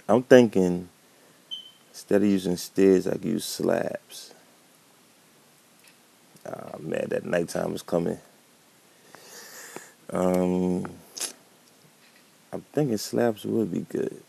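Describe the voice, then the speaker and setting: Man, deep and husky, dark tone, easygoing game commentary with a touch of a cold. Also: fairly even intonation, takes it slowly, long pauses. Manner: laid-back, thinking aloud while building. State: catching a cold. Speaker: gameplay commentator. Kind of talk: casual gameplay commentary.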